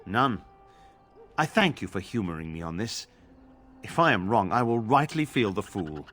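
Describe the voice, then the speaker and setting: An actor playing a grave, pious templar in a fantasy video game, speaking formally and solemnly. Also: male voice, lowish voice, sing-song, normal speed, a bit bright. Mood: grave, hopeful. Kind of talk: video game drama acting.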